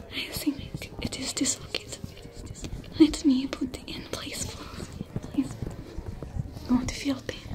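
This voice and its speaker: A woman's voice: high voice, rough recording. Woman whispering as a French-accented alien smuggler. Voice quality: whispered, soft-spoken. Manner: gentle, reassuring, coaxing. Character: young female alien smuggler healing a wounded stranger. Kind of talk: ASMR roleplay. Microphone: close to the camera.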